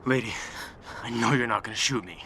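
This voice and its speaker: A man's voice: mid-range voice, a little fast, lightly acted. An actor playing a menacing scavenger man in an audio drama, threatening and taunting as he robs survivors. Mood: coaxing threat. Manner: threatening, taunting, foul-mouthed. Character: menacing male scavenger robbing survivors for their gear. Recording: noisy scene, clearly audible background ambience.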